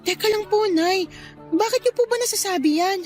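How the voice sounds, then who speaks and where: Actress as devoted, defensive wife, high voice, earnest radio drama delivery. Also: female voice, normal speed, darkish tone, soft background music. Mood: alarmed. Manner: pleading, earnest. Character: loyal middle-aged wife and daughter, trusting, defensive. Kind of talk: Tagalog radio drama acting.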